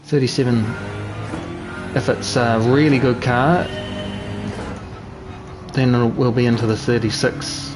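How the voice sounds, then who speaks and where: A man's voice: mid-range voice, steady tone, a little slow, pauses often, over music, rough recording. A young man with a New Zealand accent giving laid-back, chatty commentary while streaming a racing game, joking at his own mistakes. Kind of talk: casual gameplay commentary.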